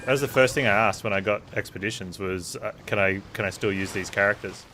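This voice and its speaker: A man's voice: on the low side, fast talker, bright, forceful tone. A man streaming a game with casual, rambling, opinionated commentary. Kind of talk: casual live gameplay commentary. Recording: game music and effects behind.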